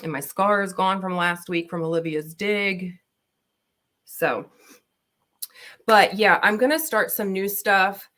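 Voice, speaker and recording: A woman's voice: medium pitch, takes it slowly, long pauses, a bit bright. A woman in her thirties from Baltimore, chatting casually and rambling as she does her makeup on a livestream at her laptop. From Maryland, USA. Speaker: beauty vlogger. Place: home, at her desk with laptop. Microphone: laptop built-in mic.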